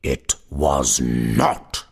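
A man voicing a stern, mocking old goblin in a storybook reading, speaking loudly and deliberately with drawn-out questions. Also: steady tone, creaky, breathy edge, exaggerated voice. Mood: stern, contradicting. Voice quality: deep. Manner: loud, slow, drawn-out questioning.

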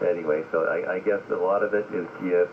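A man's voice: deep voice, steady tone, quick pace. A man in casual, rambling conversation, heard over a radio transmission. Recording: dull radio audio with static.

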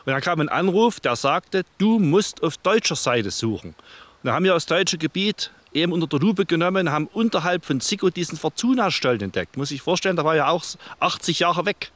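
A man's voice: on the high side, animated, brisk, fairly bright tone, dry recording. A middle-aged man, telling his account to camera in an interview. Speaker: treasure hunter, interviewee.

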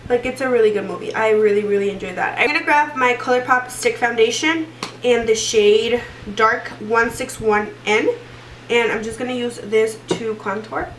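A woman's voice: fairly high pitch, moderate pace, a bit bright, distant mic, a little hiss or hum. A young woman in her twenties, stuffy from a cold, chatting through a makeup tutorial with lots of fillers and restarts. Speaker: beauty vlogger. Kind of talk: casual makeup tutorial chat. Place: small room indoors. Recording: roomy echo, voice a bit off-mic.

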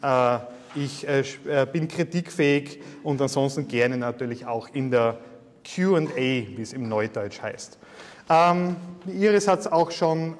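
Man, Styrian Austrian accent, guest lecturer, somewhat high voice, long hall reverb. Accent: Styrian Austrian German. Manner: chatty, self-deprecating, many filler sounds. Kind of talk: university lecture.

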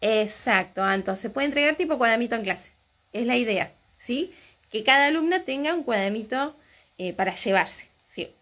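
A woman's voice: on the high side, lively intonation, moderate pace, fairly bright tone. A woman in her thirties with an Argentine Spanish accent, a crochet teacher talking casually with viewers and answering their comments from a room at home. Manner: chatty, reading out and answering comments. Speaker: crochet teacher hosting a livestream. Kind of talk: casual live chat with viewers. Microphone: phone at arm's length.

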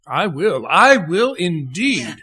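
An actor playing a courteous, astonished gentleman charity collector in a radio play, with drawn-out exclamations. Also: sits high, sing-song, exaggerated voice.

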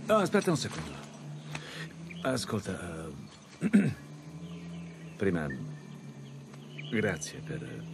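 An actor playing a middle-aged, wisecracking but cautious treasure hunter in a video game, talking in a hesitant, rambling way. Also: male voice, sits low, huge pitch swings, takes it slowly, vocal fry, pauses often, noisy background, heavily acted. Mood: awkward, conciliatory. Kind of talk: naturalistic video game acting. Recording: game soundtrack music behind.

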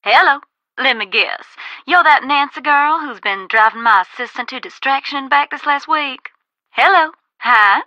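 A woman's voice: high-pitched, a little slow, pushed and bright, dry recording, lo-fi sound. An actress playing a brusque, sardonic Southern American woman reporter in a video game, folksy and blunt, heard through a phone filter. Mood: brusque, impatient.

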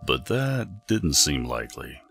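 A man narrating a children's storybook in a measured, dramatic storytelling manner. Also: rumbling low, sing-song, creaky, fairly bright tone, faint music behind. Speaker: audiobook narrator.

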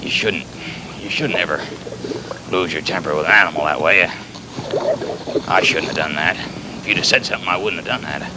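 A man playing a kindly, folksy pirate captain in a children's show, halting and stammering as he rambles and repeats himself. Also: a little high, sing-song, heavy background noise. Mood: apologetic. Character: folksy, flustered, kindly pirate captain fond of his clumsy pet.

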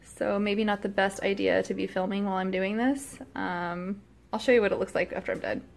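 A woman in her thirties chatting casually as she shows a recipe in her small home kitchen, self-deprecating and quick to laugh, recorded on a phone camera held close. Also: medium pitch, fairly even intonation, creaky. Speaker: cooking vlogger. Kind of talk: casual cooking vlog chat.

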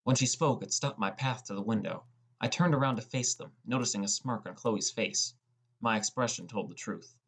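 A man's voice: fairly low pitch, moderate pace, a bit bright, husky. A man playing a sullen, defensive teenage boy who narrates his own story in a scripted audiobook. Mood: narrating.

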